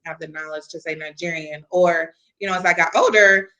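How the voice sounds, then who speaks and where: Bright-voiced woman, earnest and reflective. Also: lowish voice, steady tone, brisk, dull audio. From Kansas City, US Midwest. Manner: earnest, reflective, frequent "you know" fillers. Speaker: podcast guest. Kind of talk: casual conversational interview.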